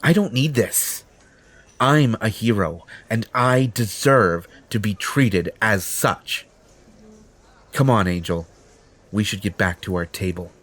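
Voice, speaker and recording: A man's voice: lowish voice, expressive, slow, fairly bright tone, exaggerated voice. A man playing a smug, possessive, entitled superhero in an audio roleplay, pompous and condescending in manner. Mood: indignant, condescending. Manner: pompous, self-satisfied, condescending. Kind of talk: audio roleplay acting addressed to the listener.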